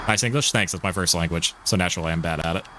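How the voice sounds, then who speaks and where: A man's voice: deep voice, rapid-fire, noisy background. A man streaming a racing game, chatting casually and rambling, often stumbling over his words. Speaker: live streamer. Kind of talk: casual gameplay commentary. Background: music.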